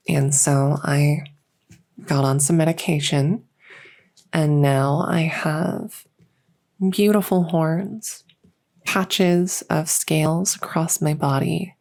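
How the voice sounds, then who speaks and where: A woman voicing a gentle fairy dragon girl doctor in a soft-spoken ASMR roleplay, reassuring her patient with hesitant pauses. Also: low voice, gravelly, exaggerated voice. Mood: reassuring, reflective.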